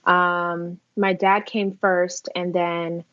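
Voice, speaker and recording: A slightly dull, dry recording of a woman with an American accent. Her voice sits a little low. She answers conversationally, as a guest being interviewed on a podcast. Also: level tone, drawn-out words, fairly bright tone, smooth, no room sound. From Las Vegas, United States.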